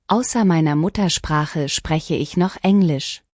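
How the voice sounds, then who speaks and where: A woman reading short lesson sentences one at a time, each clearly and deliberately, for learners to repeat. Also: sits low, darkish tone, no room sound, studio quality. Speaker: language lesson reader. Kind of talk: scripted shadowing practice reading.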